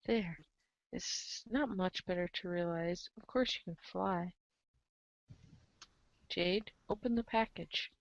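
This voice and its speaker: Creaky-voiced young woman narrating a story. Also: fairly low pitch, takes it slowly, long pauses, dry recording, dull audio. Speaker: narrator of a reader's theater show. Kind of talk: dramatic second-person story narration.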